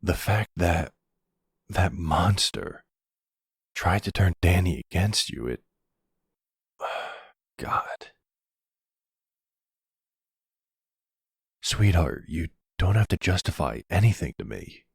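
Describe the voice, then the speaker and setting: Man acting a very deep, gravelly mafia boss. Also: animated, dry recording, studio quality, full character voice. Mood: troubled, consoling. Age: middle-aged. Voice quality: gravelly, husky, breathy. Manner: soothing, unhurried, full of pet names. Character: older silver-fox mafia boss, protective, tender lover. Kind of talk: intimate ASMR boyfriend roleplay acting.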